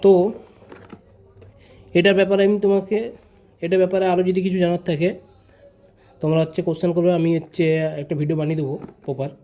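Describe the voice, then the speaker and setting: Guy doing a casual how-to vlog, talks quick, soft-edged mellow tone, repeats himself. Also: high-pitched, level tone. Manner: informal, repeats phrases, addresses viewers directly. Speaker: vlogger presenting a tutorial. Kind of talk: casual step-by-step product tutorial.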